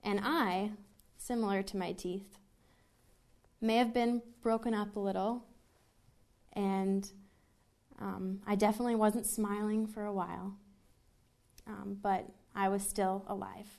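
A woman's voice: medium pitch, steady tone, unhurried, gravelly, a little mellow. A woman telling an earnest, laugh-filled personal story to a live audience.

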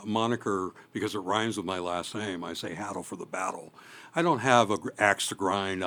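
Low-voiced earnest male candidate. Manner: earnest, emphatic with loud pushes. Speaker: local election candidate. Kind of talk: candidate forum answer.

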